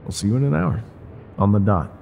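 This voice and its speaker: A man playing a world-weary, gruff but secretly tender older ex-mafia bartender in an intimate, confiding audio roleplay. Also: on the low side, animated, brisk, dark tone, a little hiss or hum. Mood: resigned, wryly fond. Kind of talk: intimate ASMR roleplay monologue.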